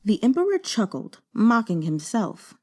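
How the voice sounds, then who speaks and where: A woman narrating a novel as an audiobook, in a measured, dramatic storytelling manner. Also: a little high, sing-song, mellow. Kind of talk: expressive scripted narration of a novel.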